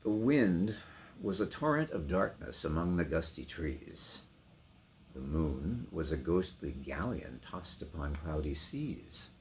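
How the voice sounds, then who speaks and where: Deep, sweeping dramatic ballad storyteller played by a man. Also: sing-song, slow pace, a little mellow, a little hiss or hum, heavily acted. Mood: dramatic, intense. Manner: theatrical, swelling and dropping to whispers. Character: brooding, dramatic storyteller of a romantic ghostly ballad. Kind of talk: dramatic poetry recitation.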